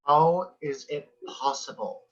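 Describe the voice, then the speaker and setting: A man's voice: a little high, takes it slowly, fairly bright tone. A man playing a curious, easily awed office coworker in a sitcom table read. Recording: dull lo-fi video call.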